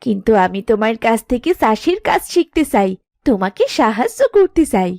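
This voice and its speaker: A sensible young cartoon wife, speaks in a fairly high, very animated voice. She is earnest and gently chiding. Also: fairly quick, no room sound, studio quality. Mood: helpful, earnest. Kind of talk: Bengali cartoon moral-tale acting.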